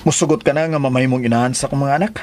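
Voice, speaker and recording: An actor playing an earnest, solemn middle-aged suitor in a Philippine radio drama, speaking with a Cebuano Filipino accent. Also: male voice, medium pitch, rapid-fire, a little mellow, a little hiss or hum, natural voice. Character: earnest, strict-looking middle-aged suitor proposing marriage. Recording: music bed behind radio drama.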